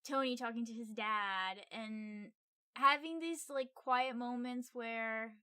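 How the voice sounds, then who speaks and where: A woman's voice: on the high side, takes it slowly, bright, forceful tone, clean tone, no echo. A woman co-hosting a casual film review podcast, talking informally and a little hesitantly into a close microphone. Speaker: podcast co-host.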